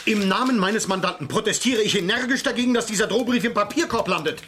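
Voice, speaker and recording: An actor playing an earnest, easily offended young lawyer and dutiful son in a German radio crime play. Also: male voice, high-pitched, quick pace, fairly bright tone, slight background noise. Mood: indignant.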